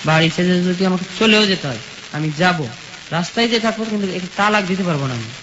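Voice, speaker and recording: High-voiced young man over sizzling noise. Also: fast. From rural Bangladesh. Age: young adult. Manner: plain colloquial village speech, recounting step by step. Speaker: guest telling his life story. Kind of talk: personal storytelling in an interview, quoting dialogue. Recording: dull sound with steady sizzling noise.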